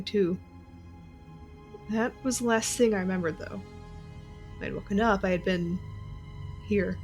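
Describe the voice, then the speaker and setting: Woman as irreverent young spy officer stuck in a cell, blunt narration, medium voice, soft music under. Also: mid-range voice, close to an everyday voice. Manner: blunt, salty storytelling. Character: tough, irreverent young female intelligence officer, held captive. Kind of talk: first-person audio drama narration.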